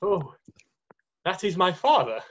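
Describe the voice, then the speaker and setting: An amateur performer voicing a gentle, nervous male faun with a hesitant, apologetic manner in a screenplay readthrough. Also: medium pitch, very animated, measured pace, lo-fi sound. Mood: welcoming. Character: nervous, guilt-ridden, gentle male faun, kindly host.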